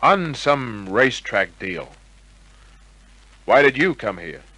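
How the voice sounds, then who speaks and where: An actor playing a wisecracking, cool private detective in old-time radio crime drama, with a wry, clipped delivery. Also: male voice, medium pitch, big pitch swings, slow, bright, forceful tone, no echo, exaggerated voice, poor audio. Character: wisecracking hard-boiled male private detective, cool under pressure.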